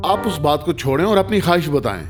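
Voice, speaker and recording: A man voicing a comical, businesslike lamp genie in a dubbed children's cartoon, with a brisk, officious, mocking manner. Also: on the high side, expressive, music in the background, natural voice. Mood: amused.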